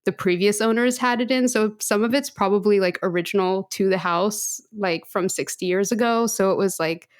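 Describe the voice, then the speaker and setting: A woman's voice: mid-range voice, moderate pace, hardly any pauses, smooth. Chatty laughing podcast woman. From United States. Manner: chatty, laughs often, rambling asides. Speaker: podcast co-host. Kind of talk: casual chat.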